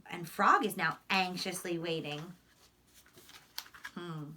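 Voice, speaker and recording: A woman teacher talking to young children in an expressive, explanatory way, often checking in with short questions. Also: on the low side, slow pace, far from the mic, slight background noise. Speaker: elementary school teacher. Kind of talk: read-aloud and lesson for young children.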